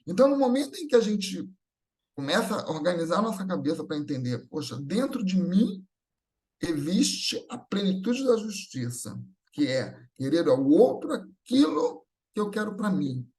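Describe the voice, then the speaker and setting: High-voiced Brazilian man giving a spiritual talk. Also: very animated, moderate pace, darkish tone. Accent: Brazilian Portuguese. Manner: didactic, checks in with short tag questions, repeats phrases for emphasis. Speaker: speaker at a Spiritist gathering. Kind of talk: spiritual talk, semi-improvised teaching.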